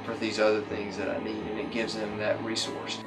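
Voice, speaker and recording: A man in his forties explains his work as a doctor in an office interview. His voice is on the low side and slightly off the mic, under loud guitar music. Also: completely flat delivery, brisk, distant mic, rough recording. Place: small office indoors.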